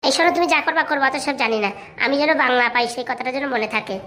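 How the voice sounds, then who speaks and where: A woman's voice: sits high, rapid-fire, sharp tone. A woman voicing a bossy, suspicious mother in a comic cartoon, scolding emphatically.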